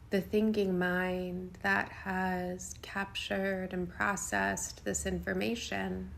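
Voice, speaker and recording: A woman guiding a yoga nidra meditation in a soothing, unhurried way, speaking in short phrases with long pauses. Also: mid-range voice, vocal fry, slight background noise. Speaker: meditation guide.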